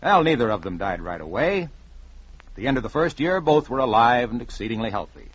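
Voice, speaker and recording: Male storyteller for vintage radio: expressive, slightly rough voice, measured drama, muffled old recording. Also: mid-range voice. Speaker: narrator.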